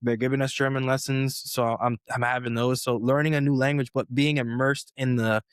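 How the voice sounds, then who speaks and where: Young man from the US, footballer guest, casual rambling chat, mid-pitched voice, dry close sound. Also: little pitch movement, brisk, clean tone, no echo. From United States. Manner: rambling, many restarts and fillers. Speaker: professional footballer, podcast guest.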